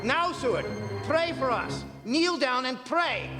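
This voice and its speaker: An actor playing an authoritative vampire hunter in a staged radio drama, grave, urgent and solemn in delivery. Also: male voice, very high voice, very animated, slow pace, heavily acted. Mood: tense, grave. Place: performing arts centre stage. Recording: reverberant theatre hall recording, music behind.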